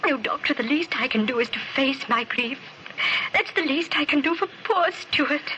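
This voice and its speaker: A woman's voice: high-pitched, moderate pace, lightly acted. A woman playing a genteel young Edwardian wife in a melodramatic old radio play. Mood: grieving. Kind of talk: 1950s radio drama acting. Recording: noisy old radio transcription.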